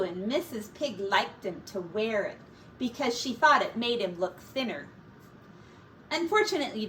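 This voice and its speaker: A woman teacher reading a children's story aloud with expressive, deliberate dramatic emphasis. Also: a little high. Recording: distant mic with faint room noise.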